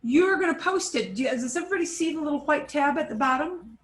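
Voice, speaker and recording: A woman teaching a Spanish class over a video call, switching between Spanish and English and repeating phrases slowly. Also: high-pitched, lively intonation, roomy sound. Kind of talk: online class instruction.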